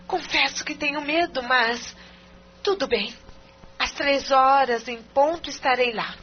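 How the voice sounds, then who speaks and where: A woman's voice: sits high, measured pace, lo-fi sound, a little hiss or hum. A woman playing a reserved, fearful married woman in an audio novela, speaking formally and hesitantly. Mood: hesitant, anxious.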